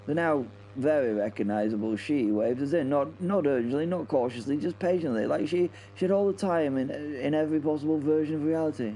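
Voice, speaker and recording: A man's voice: fairly high pitch, fairly quick, hardly any pauses, dark tone, a little hiss or hum, natural voice, low-quality recording. A man playing a flustered British wing commander, telling his story hesitantly, stammering and repeating himself. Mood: uneasy, bewildered. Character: flustered, stammering male wing commander pilot recounting a mission. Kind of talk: dramatic first-person narration in audio drama.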